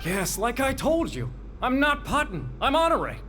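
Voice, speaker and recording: A man's voice: very high voice, big pitch swings, measured pace, a bit bright, a little hiss or hum, exaggerated voice. An actor playing an insistent, protesting male inmate in a video game. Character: insistent male inmate claiming mistaken identity.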